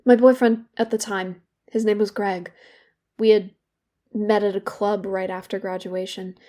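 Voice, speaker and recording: An actress as a young woman in therapy, weighed down by guilt and edged with bitter wit. Her voice is soft-edged and dark, at an ordinary female pitch. She speaks in a halting, candid way, as in a naturalistic audio play. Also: little pitch movement, natural voice. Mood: wistful, halting. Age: young adult. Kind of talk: naturalistic audio drama dialogue.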